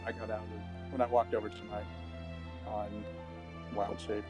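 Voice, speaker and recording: A man's voice: lowish voice, little pitch movement, moderate pace, music in the background. A man playing a tabletop role-playing game, casually narrating and chatting in character.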